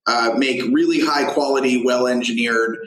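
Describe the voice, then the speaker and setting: Male startup CEO, medium pitch, halting explanatory interview delivery with lots of fillers, audibly echoey room sound. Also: steady tone, fairly bright tone, a little hiss or hum. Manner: halting, filler-heavy, thinking aloud. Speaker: tech company founder and CEO being interviewed. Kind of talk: unscripted business interview answers.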